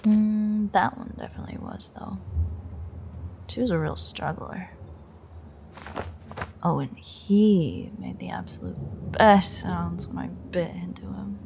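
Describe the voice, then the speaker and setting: Slow, creaky, sardonic vampire woman, acted out. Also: lowish voice, very animated, warm tone, slight background noise, exaggerated voice. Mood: gloating, hungry. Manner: teasing, sardonic, unhurried, menacing. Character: smug, predatory female vampire taunting her captive hunter. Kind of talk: intimate audio roleplay monologue.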